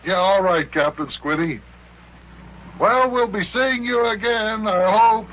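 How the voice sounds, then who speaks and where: An actor playing a kindly, sensible guardian in an old-time radio serial, mild and gently chiding. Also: male voice, high-pitched, measured pace. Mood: agreeable. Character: kindly, sensible grown man, patient guardian. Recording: muffled, slightly noisy old radio.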